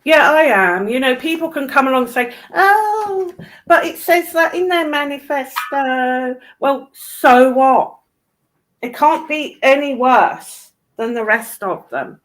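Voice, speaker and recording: A woman's voice: high voice, lively intonation, slow, fairly bright tone. A British woman in casual political chat, speaking in a blunt, opinionated way with some swearing. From United Kingdom.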